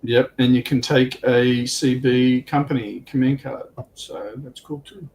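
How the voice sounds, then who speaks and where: A man chatting casually as he explains tabletop game rules, with halting, hesitant delivery. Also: mid-range voice, steady tone, darkish tone. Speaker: guest on a tabletop wargaming livestream. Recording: clearly noisy background.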